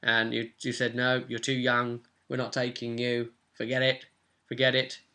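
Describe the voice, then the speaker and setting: Game master, young man, British, bright voice, casual tabletop session recap. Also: mid-range voice, monotone, moderate pace. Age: young adult. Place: small cluttered room at home.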